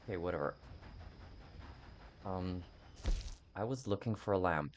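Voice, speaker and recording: A man's voice: low-pitched, a little slow, dull audio, a little hiss or hum. A man giving casual video game commentary, thinking aloud in a rambling, self-mocking way over game sounds.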